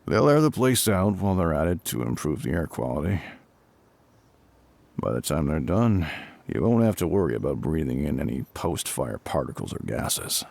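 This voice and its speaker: A gruff fire captain, acted by a man with an extremely low, husky voice. His delivery rises and falls freely while staying calm and reassuring, as if speaking directly to one listener in an audio roleplay. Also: dry recording, full character voice. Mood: matter-of-fact, reassuring. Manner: measured, matter-of-fact, reassuring. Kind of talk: intimate scripted audio roleplay spoken to the listener.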